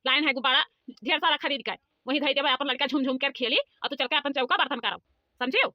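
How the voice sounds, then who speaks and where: A woman's voice: high voice, quick pace, no room sound, highs cut off, natural voice. A woman voicing a bossy, coaxing elderly village mother-in-law in a comic cartoon, nagging and instructing with an Awadhi accent. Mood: coaxing lecture.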